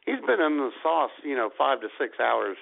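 A playful man co-hosting an online talk show, teasing and laughing, with a gravelly edge and a lively, swooping pitch. It sounds thin and phone-like. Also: normal speed. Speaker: co-host of an internet radio show. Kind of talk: casual banter on a call-in radio show. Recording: tinny phone-line internet radio audio.

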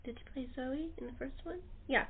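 Chatty lady host, voice a bit high, thin narrow call audio. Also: fairly quick, husky. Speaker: host interviewing radio-play cast. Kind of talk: casual chat.

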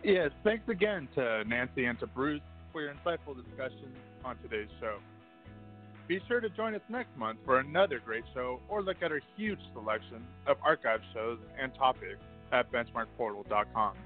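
A man speaking as co-host and announcer in a radio-show presenting style. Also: medium pitch. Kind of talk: radio-style show sign-off and question reading. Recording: phone-like sound with faint music.

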